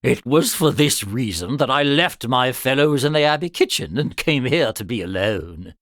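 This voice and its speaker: A man's voice: medium pitch, animated, moderate pace, a bit bright, dry recording, clean studio sound. A man voicing a timid, humble medieval cowherd in a storybook, hesitant and plaintive. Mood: bewildered, pleading.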